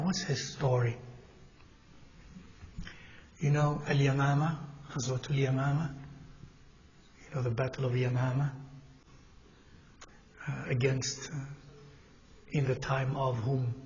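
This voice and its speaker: A man teaching a congregation in a mosque, speaking in a measured, halting way with pauses and self-corrections, and putting questions to his listeners. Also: medium pitch, darkish tone, dull audio, slight background noise. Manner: asks the audience questions as he teaches. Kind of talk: Islamic lecture to a congregation. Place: mosque hall.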